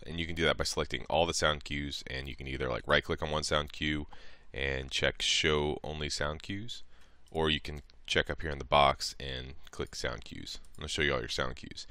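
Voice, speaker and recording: A man with an extremely deep yet smooth, clear voice presents a software tutorial. He is informal and a little hesitant as he explains each step. The recording is close and dry. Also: no room sound. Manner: informal, hesitant, thinking aloud.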